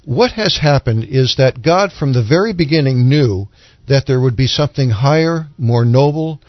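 A man on a discussion panel explaining religious ideas in a deliberate, teacherly way. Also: medium pitch, animated, dry recording. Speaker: panelist on a Bible discussion show. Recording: dull, lo-fi audio.

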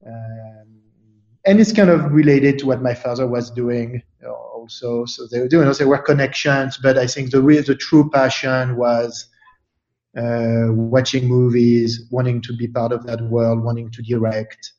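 A man from France, speaking as an interview guest in a thoughtful, halting way with many fillers and restarts. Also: medium pitch, fairly even intonation, a little mellow, reverberant, muffled recording. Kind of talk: casual interview chat.

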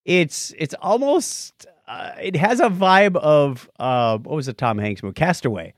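A man's voice: fairly high pitch, lively intonation, a little slow, no echo, clean studio sound. A man hosting a podcast in a casual, playful, joking style. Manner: jokey, playful. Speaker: podcast host. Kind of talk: casual chat, listener shout-outs.

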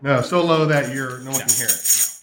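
A man's voice: medium pitch, moderate pace, crowd noise. A man, a musician, chatting casually while helping tune an instrument at a live show. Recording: roomy live stage, instrument sounds. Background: plucked instrument being tuned.

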